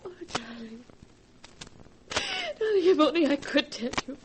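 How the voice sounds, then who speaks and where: An actress playing a frightened, secretive wife in a 1940s radio melodrama, tremulous and pleading, on a hissy old recording. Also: female voice, sits high, animated, unhurried. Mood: despairing.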